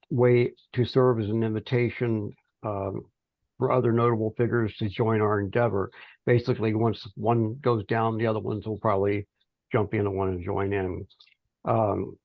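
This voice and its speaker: Gravelly, low-voiced man, dull call audio. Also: dry recording. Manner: halting, hesitant delivery with pauses mid-phrase. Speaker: amateur radio project volunteer. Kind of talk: informal progress report on a teleconference. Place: remote teleconference call. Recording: dull, band-limited teleconference audio.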